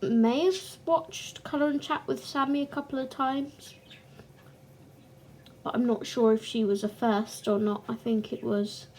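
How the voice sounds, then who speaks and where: A young woman hosting a colouring channel, chatting casually to camera in a croaky, short-of-breath voice, pausing now and then to clear her throat. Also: fairly high pitch, a little mellow, slight background noise.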